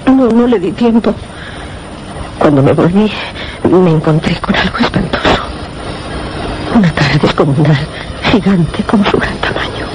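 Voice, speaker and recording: An actress with a Castilian accent voicing a frightened young weather observer, halting and repeating words, in radio suspense theatre. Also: female voice, fairly low pitch, lively intonation. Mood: terrified. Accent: Castilian Spanish. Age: young adult. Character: frightened young female weather observer, shaken but insistent. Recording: noisy old radio drama recording.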